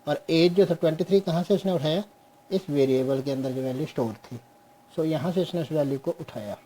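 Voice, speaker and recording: A young man teaching a programming class on screen, slowly walking through code step by step and mixing Hindi with English. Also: fairly high pitch, warm tone, a little hiss or hum, rough recording. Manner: slow, explains step by step, mixes Hindi and English. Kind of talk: screen-share coding lesson.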